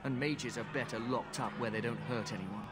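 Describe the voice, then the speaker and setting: A man's voice: mid-range voice, steady tone, brisk, close to an everyday voice. A man playing a brusque, dismissive city guard in a fantasy game, with outdoor noise behind him.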